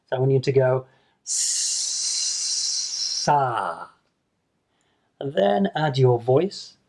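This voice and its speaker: A man's voice: medium pitch, very animated, very slow, creaky. A man teaching English pronunciation to camera in a patient video lesson, demonstrating sounds and repeating them.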